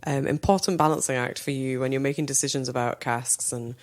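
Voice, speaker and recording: A woman's voice: extremely low pitch, fairly even intonation, brisk. A woman co-hosting a podcast interview, musing through long, reflective questions with a self-deprecating touch. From Scotland. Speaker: podcast co-host. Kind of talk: conversational interview.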